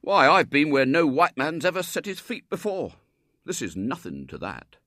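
A man doing an earnest, blustering young boy, bright and high. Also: wildly swooping pitch, moderate pace, no echo, clean studio sound, exaggerated voice. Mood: proud, bashful. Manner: stammering, blustering. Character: earnest, boastful young boy eager to impress. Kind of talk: storybook character voice in narration.

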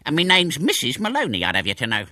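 A man's voice: on the high side, huge pitch swings, quick pace, pushed and bright, no echo, clean studio sound, voice pushed up, full character voice. A man voicing a very old, snappish, suspicious cottage woman in a storybook reading. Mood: sharp, suspicious. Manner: snappish, scolding, indignant. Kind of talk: storybook character voice.

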